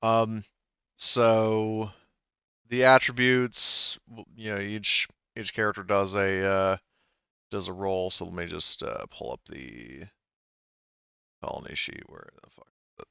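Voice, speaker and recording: A man's voice: on the low side, takes it slowly, vocal fry, pauses often, sharp tone, clean tone, no room sound, muffled recording. A man running a tabletop roleplaying game as game master, talking in a rambling way with many fillers and restarts.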